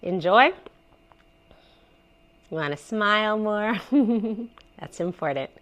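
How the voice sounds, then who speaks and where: A woman with a head cold guides a gentle yoga flow, her voice stuffy and congested. She gives soft, encouraging cues and lets out small sighs of pleasure as she stretches. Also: medium pitch, expressive, slow. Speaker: yoga instructor. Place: messy living room at home.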